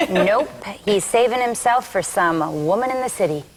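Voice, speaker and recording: A woman's voice: medium pitch, expressive, moderate pace, lightly acted. An actress playing a persnickety city woman, precise and insistent, at a sitcom table read.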